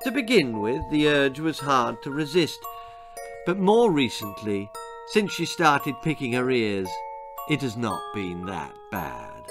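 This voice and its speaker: A man's voice: mid-range voice, very animated, measured pace, over music. A man reading a children's horror-comedy story in a theatrical, precise storytelling style. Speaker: author reading his own story.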